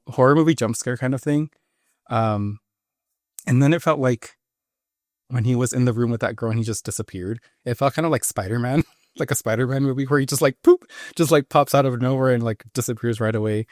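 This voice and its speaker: A male podcast co-host talks through a film review in a casual, halting way. His voice sits at an ordinary male pitch, and the sound is close and dry, with no room around it. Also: a little mellow, no room sound.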